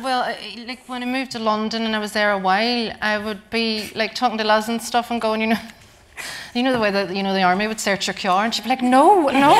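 A woman with a Northern Irish accent, a writer speaking on a live panel, halting and laughing often. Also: on the high side, fairly bright tone. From Northern Ireland. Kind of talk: casual panel talk. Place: stage before a live audience.

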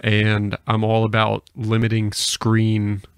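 A man's voice: lowish voice, completely flat delivery, slow, clean tone, no room sound. A young man hosting a podcast in casual conversation, speaking close to the microphone. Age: young adult. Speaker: podcast host. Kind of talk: casual chat. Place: small indoor room with bookshelves. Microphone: close podcast microphone on arm.

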